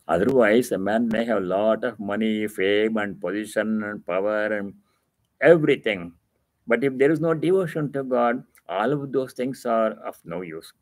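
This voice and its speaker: A man with an Indian accent teaching scripture as a swami, explaining terms patiently and repeating key phrases for emphasis, with deliberate pauses. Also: on the low side, dry recording. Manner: explains Sanskrit terms didactically. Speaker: swami giving a religious discourse. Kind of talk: scripture reading with devotional commentary.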